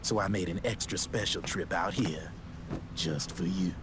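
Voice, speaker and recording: Man playing a low-voiced, slick streetwise arms dealer. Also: moderate pace, lightly acted. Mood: breezy, salesmanlike. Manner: slangy, smooth-talking, casual swagger. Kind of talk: dramatic video game voice acting. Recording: clear background noise and ambience.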